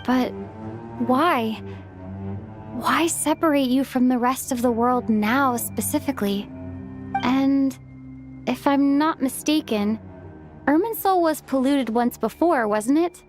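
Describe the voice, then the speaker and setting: A high-pitched young adventurer in a video game, thoughtful and questioning. Her voice sits over clearly audible music. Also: slow, pauses often. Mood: pensive. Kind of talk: scripted video game dialogue.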